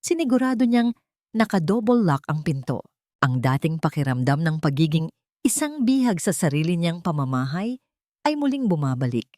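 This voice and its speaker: A woman narrates a scripted story in a fairly low voice. Her delivery is measured and dramatic. The sound is very dry, with no room around it. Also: big pitch swings, warm tone, no room sound.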